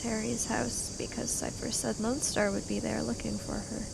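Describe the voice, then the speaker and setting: A woman's voice: on the high side, level tone, normal speed, creaky, very noisy. A woman improvising casual in-character dialogue as a player in a tabletop roleplay podcast.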